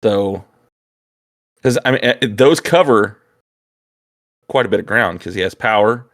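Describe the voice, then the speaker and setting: A man's voice: on the low side, a little slow, fairly bright tone. A man co-hosting a casual geeky chat, agreeable and thinking aloud as he goes. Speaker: co-host of a hobby video channel.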